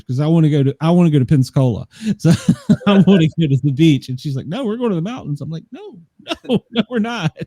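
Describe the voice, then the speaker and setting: A man hosting a casual interview, chatty and quick to laugh. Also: fairly high pitch, warm tone, no room sound, studio quality. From Washington, D.C.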